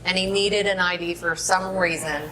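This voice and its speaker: A woman's voice: on the low side, steady tone, normal speed, fairly bright tone, rough recording. A woman co-hosting a casual chat show, talking in an informal, exclamatory way. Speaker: co-host. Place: bakery, among other people. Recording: busy public room with chatter.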